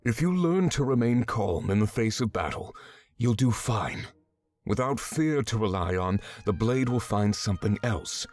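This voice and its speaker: An actor playing a stern, exacting swordsman mentor in a fantasy visual novel game, giving measured, commanding instruction. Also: on the low side, animated, a little mellow, no echo. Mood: calm, resolute. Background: background music.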